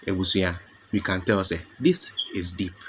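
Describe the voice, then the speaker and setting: A Ghanaian man with a fairly low voice talks his viewers through a story, explaining and praising as he goes. A faint hiss sits under the recording. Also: normal speed. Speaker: gossip channel presenter. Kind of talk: commentary mixing English and Twi.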